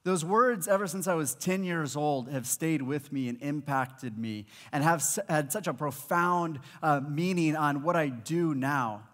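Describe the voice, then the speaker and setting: A man's voice: fairly high pitch, unhurried, hardly any pauses. A man in his thirties, a pastor from Malawi, preaching a sermon on stage with a headset mic and acting out the voices in his stories. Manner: animated storytelling preacher, acts out quoted voices. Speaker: high school pastor. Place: large church auditorium stage with audience. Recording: long reverb of a large hall.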